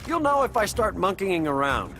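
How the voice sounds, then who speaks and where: A joking, easygoing hero. His voice is a little high and animated, glib in video game banter, with loud music behind. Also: normal speed.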